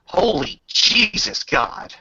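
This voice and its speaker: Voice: medium pitch, very animated, slow, crowd noise. A game master running a casual tabletop roleplaying session in an informal, swearing way. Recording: muffled lo-fi with faint noise.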